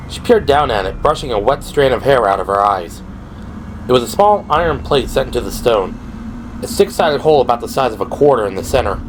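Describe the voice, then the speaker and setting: A man's voice: mid-range voice, big pitch swings, brisk. A man reading scripted dramatic narration for an audio drama. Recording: clear background noise and ambience. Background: ambient sound effects.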